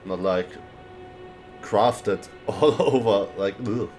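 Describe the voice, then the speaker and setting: A man's voice: on the low side, takes it slowly, darkish tone, soft background music. A man giving casual, chatty and irreverent commentary as he plays a video game. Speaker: gaming streamer.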